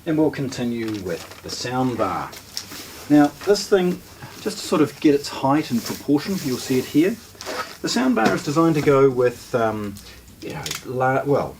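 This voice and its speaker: A man's voice: medium pitch, big pitch swings, moderate pace, background noise. A man with a New Zealand accent presenting a casual product unboxing, explaining things informally step by step as he handles them.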